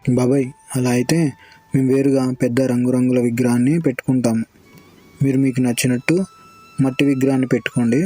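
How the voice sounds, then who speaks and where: A man's voice: medium pitch, little pitch movement, fast talker, mellow, dry recording, soft background music, close to an everyday voice. A man playing a stubborn, eager young village man in a cartoon story, talking insistently. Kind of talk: Telugu cartoon story dialogue.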